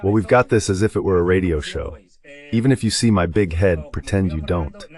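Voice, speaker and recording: A man's voice: low-pitched, brisk, a little mellow. A man giving explanatory, conversational commentary on a war as a guest analyst in a dubbed live talk.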